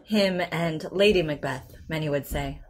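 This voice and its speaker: An actress playing a patient, professional therapist in an audio drama, asking questions in a measured, careful way. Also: female voice, sits low, slight background noise. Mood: gently probing. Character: composed, patient woman therapist, probing and professional. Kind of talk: naturalistic audio drama dialogue.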